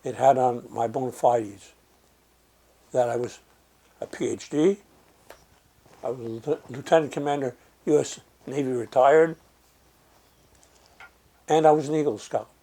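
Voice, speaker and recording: An older man from Germany, speaking slowly and reflectively in an oral history interview, with frequent pauses and fillers. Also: medium pitch, warm tone.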